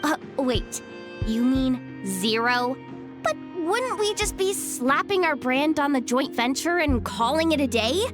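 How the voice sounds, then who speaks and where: A woman's voice: sits high, animated, measured pace, music in the background. A woman voicing an eager young female assistant who pesters her boss in a video game. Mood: pleading. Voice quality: whiny, earnest.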